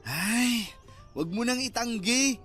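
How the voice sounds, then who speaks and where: Teasing, sulky young Filipino best friend. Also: male voice, extremely high pitch, animated, takes it slowly, a bit bright, faint music behind, exaggerated voice. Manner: bantering, mock-offended, slangy. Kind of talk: Filipino radio drama acting.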